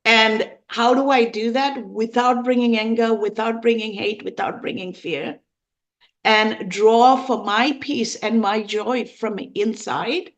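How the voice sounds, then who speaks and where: A woman in her fifties from Sri Lanka, telling her personal story as an interview guest in an earnest, emphatic, deliberate way. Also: a little high. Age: 50s. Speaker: podcast guest.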